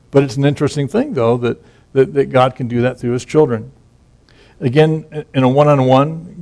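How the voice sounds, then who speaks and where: A man teaches on scripture in a relaxed, conversational manner. His voice sits at a middle pitch. He often restarts phrases and adds asides while he explains. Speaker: Bible teacher. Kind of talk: informal Bible teaching.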